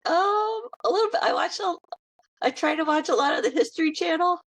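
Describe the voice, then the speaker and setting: A woman's voice: very high voice, animated, a little fast, no room sound. An American woman interviewing a band over a video call in an easygoing, giggly way. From United States.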